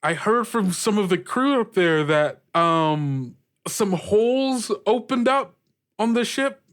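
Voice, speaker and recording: A woman playing a bewildered, easygoing female ship captain in a tabletop game, halting and questioning. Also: medium pitch, expressive, voice pushed up. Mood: puzzled.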